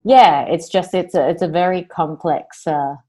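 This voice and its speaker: A woman with a low voice answers interview questions in a loose, rambling way. She circles back, restarts her sentences and leans on fillers as she goes. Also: measured pace, mellow. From New Zealand and Australia. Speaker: guest, singer-songwriter. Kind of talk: casual interview conversation.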